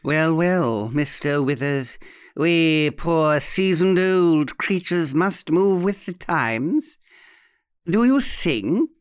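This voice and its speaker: A man voicing a mocking, unctuous old woman in an audiobook story, drawing out her words slowly. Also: on the high side, animated, clear, resonant tone, no room sound, clean studio sound. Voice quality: unctuous, slow and drawn-out.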